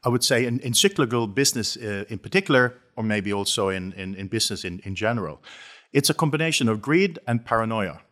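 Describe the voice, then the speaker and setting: Low-voiced, halting Norwegian businessman. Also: lively intonation, a bit bright. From west coast of Norway. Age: middle-aged. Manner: halting, with repeated words and hesitations. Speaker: interview guest, shipping company chief executive. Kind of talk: conversational interview.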